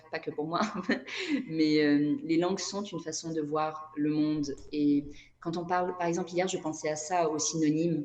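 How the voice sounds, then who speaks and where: A woman's voice: low voice, a little mellow. A woman from Brazil whose native language is Portuguese, speaking reflectively as an interview guest and often searching for her words. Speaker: interview guest, energy therapist and writer. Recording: echoey room.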